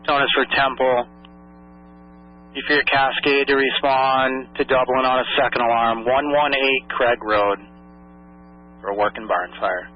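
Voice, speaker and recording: A man's voice: mid-range voice, fairly even intonation, unhurried, pauses often, a little hiss or hum. Male emergency dispatcher, procedural and clipped, repeating calls over lo-fi radio. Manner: procedural, repeats messages back in clipped phrases. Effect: two-way radio. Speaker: fire dispatcher. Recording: muffled lo-fi dispatch radio audio.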